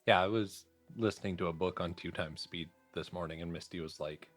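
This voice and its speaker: A man with a low voice joins informal podcast conversation. He speaks in a casual, conversational style. Faint music sits behind his words. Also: fairly even intonation, fairly quick, a bit bright.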